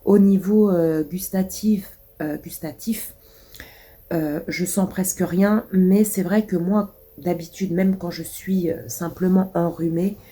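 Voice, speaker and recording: Casting woman in her thirties, congested and coughing, low mellow voice, rambling vlog chat. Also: fairly even intonation, takes it slowly. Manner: rambling, many hesitations. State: congested, stuffy nose. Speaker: vlogger.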